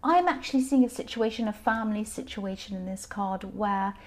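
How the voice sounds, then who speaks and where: A slightly tired woman with a faintly croaky voice gives an informal tarot reading, explaining hesitantly and circling back to repeat her phrases. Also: medium pitch, brisk, a little mellow. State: a bit tired. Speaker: tarot reader, channel host.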